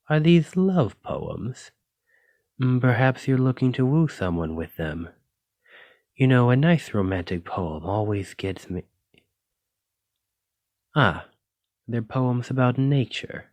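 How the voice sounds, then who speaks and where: A man's voice: fairly low pitch, darkish tone, no room sound, heavily acted. A man playing a flirty, possessive dragon-blooded librarian in an intimate ASMR roleplay, with a teasing, coy way of drawing out his phrases. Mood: curious, teasing.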